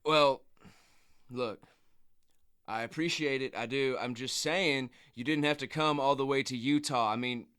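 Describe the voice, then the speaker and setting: A man's voice: mid-range voice, measured pace, a bit bright, smooth, close to an everyday voice. A man playing a proud, stubborn injured bull rider with an American accent, guarded and hesitant, in a naturalistic radio drama. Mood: guarded, defensive. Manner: guarded, hesitant, plain-spoken.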